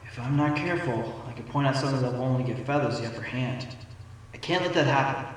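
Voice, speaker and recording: A man's voice: mid-range voice, fast, recorded from a distance, a little hiss or hum, lightly acted. A man playing a wary, earnest fox defense lawyer in a fan audio drama. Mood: wary, pondering. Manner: musing to himself, then pointed questioning. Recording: heavy echo, loud music bed.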